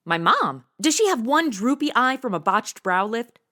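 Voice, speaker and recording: A woman's voice: a little high, sing-song, normal speed, pushed and bright. A woman playing a flippant, impatient young female sleuth, sarcastic and slangy, in a comedy audio drama.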